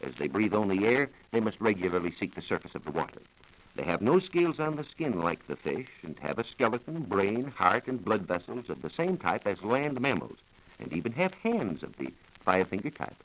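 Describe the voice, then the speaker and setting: Low-voiced American man, 1940s radio science talk. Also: big pitch swings, a little fast, low-quality recording. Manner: formal, didactic, precise. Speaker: science reporter on a radio programme. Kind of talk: 1940s radio educational talk, scripted explanation. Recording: vintage 1940s radio broadcast recording.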